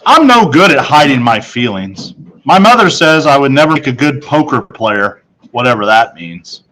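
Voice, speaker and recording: A man narrating a children's novel in the first person as a schoolboy, in a measured storytelling manner. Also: mid-range voice, big pitch swings, bright, forceful tone, low-quality recording. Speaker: storybook reader.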